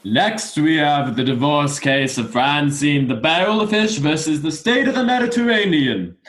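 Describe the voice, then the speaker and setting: A man playing a formal, dry fish judge in a radio play, with a measured, officious manner. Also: on the high side, animated, a bit bright. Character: formal, dry male fish judge presiding over court. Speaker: actor.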